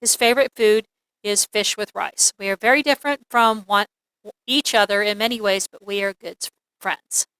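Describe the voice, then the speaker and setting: A woman teaching an English lesson, reading examples aloud in a deliberate, clearly enunciated, instructional way. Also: mid-range voice, steady tone, fairly bright tone, dry recording, rough recording.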